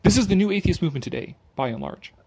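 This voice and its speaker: A somewhat high-pitched male voice with a gravelly, husky grain. He reads aloud theatrically and drops in sarcastic, mocking asides in a loose, sweary, opinionated style. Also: big pitch swings, rapid-fire, a little mellow. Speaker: commentator reading an article aloud with his own remarks. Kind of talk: sarcastic dramatic reading mixed with casual opinionated commentary.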